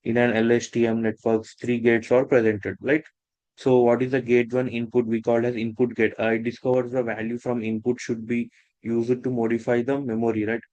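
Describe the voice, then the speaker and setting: A man teaching a technical lesson, talking in a halting way with many restarts and fillers. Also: mid-range voice, little pitch movement, darkish tone, no echo, highs cut off. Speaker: instructor. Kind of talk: technical lecture.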